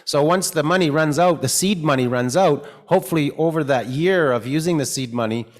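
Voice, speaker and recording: A man with a fairly high voice moderates a conference panel session. He poses questions, hands them to the panelists by name, and paraphrases what they say to make sure he has it right. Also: brisk. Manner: warm, restates answers to check understanding. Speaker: session facilitator. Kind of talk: hosting a conference workshop session.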